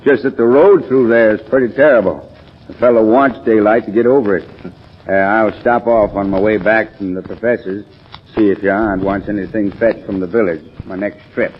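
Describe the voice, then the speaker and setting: An actor playing an easygoing rural American hired driver in a 1940s radio drama, with folksy, hesitant country talk. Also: male voice, lowish voice, fairly even intonation, moderate pace, lightly acted. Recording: muffled, noisy 1940s radio recording.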